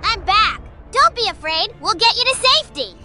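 A woman voicing an earnest, excitable little boy bee in a comic dub, childlike and eager. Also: extremely high pitch, moderate pace, soft background music, full character voice. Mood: upset, determined.

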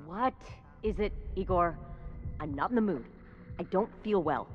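A woman's voice: fairly low pitch, lively intonation, measured pace. A woman playing a haughty, impatient scientist in a video game, speaking in a clipped, scornful, precise manner. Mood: irritated. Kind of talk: video game dialogue acting. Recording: slightly dull game audio under music.

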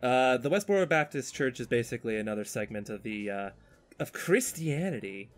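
A man's voice: mid-range voice, animated, brisk, soft background music. A man chatting casually and talkatively on a podcast while he draws, with a joking, exasperated manner. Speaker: podcast host.

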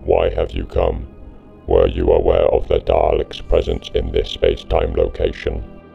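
A cold, domineering alien war machine in a sci-fi audio drama. The robotic, modulated voice is extremely gravelly and very low, issuing staccato commands and questions over music. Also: male voice, completely flat delivery, a little slow, highs cut off, heavily acted. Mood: cold, commanding.

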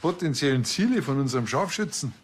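An actor playing a cheeky, slightly naive police officer with a Bavarian accent in a TV crime comedy. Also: male voice, medium pitch, lively intonation, quick pace, close to an everyday voice. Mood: cheeky, surprised.

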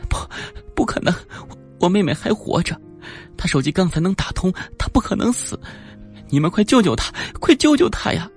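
A man's voice: high-pitched, sing-song, moderate pace, faint music behind, pitched above the performer's own voice. A man voicing a distraught young woman in an audiobook, pleading desperately in a trembling, tearful, stammering voice. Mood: hysterical pleading. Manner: trembling, crying, stammering pleas.

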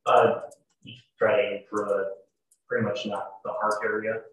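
A man's voice: lowish voice, level tone, moderate pace, a bit bright. A young man testifying in court as a sheriff's deputy, formal and matter-of-fact. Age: young adult. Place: room at court, on video call. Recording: echoey, distant video-call audio with noise.

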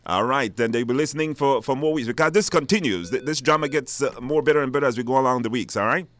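A man's voice: medium pitch, fast talker, a bit bright. A man with a Belizean accent hosting a live radio call-in show, putting questions to callers. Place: radio studio.

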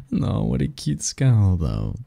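A man performs a seductive male sleep demon in an intimate ASMR roleplay, low and very mellow. He speaks slowly and softly, with teasing, possessive devotion and formal, flirtatious phrasing. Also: vocal fry, no room sound, heavily acted. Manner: flirtatious, formal wording, soft intimate delivery, chuckling.

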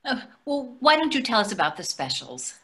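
A woman's voice: fairly high pitch, sing-song, moderate pace, bright, forceful tone, heavily acted. An older woman playing a polite, placating tourist diner in a readers theatre comedy, speaking a little hesitantly. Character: polite, hungry New York tourist diner, conciliatory. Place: plain room indoors on a video call. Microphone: video call microphone.

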